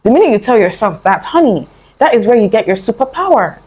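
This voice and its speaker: A woman's voice: on the high side, sing-song, quick pace, darkish tone, husky. A young adult woman vlogging a casual motivational talk, earnest and coaxing, rambling with many fillers and restarts as she pleads with her listener. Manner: earnest, coaxing, calls the listener "my love" and "honey".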